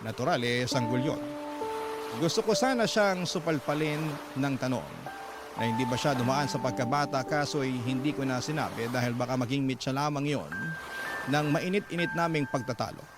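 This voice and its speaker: A man's voice: mid-range voice, moderate pace, lightly acted. A man reading an aggrieved young mother's letter as radio drama narration, confiding and sardonic. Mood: confiding, resentful. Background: loud background music.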